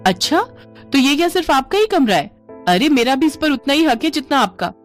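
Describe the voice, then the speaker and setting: A woman's voice: sits high, big pitch swings, a little fast, soft background music, voice pushed up. A woman voicing an irritable, complaining young newlywed in a cartoon family story, exasperated and argumentative. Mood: defiant. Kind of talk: animated Hindi family story dialogue.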